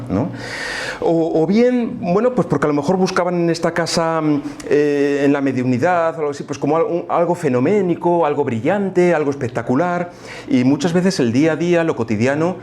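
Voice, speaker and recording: A man with an accent from Spain gives an informal lecture, speaking conversationally and haltingly, often repeating words and adding rhetorical tags. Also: mid-range voice, a little mellow. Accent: Spanish, from Spain. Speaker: lecturer at a spiritist association. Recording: live talk with faint background room noise.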